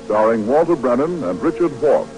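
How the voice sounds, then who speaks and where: A male American announcer delivers a formal script in 1940s radio style. His pitch moves widely in a declamatory way, on a vintage broadcast recording with some light music. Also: lively intonation, a little fast, mellow, poor audio.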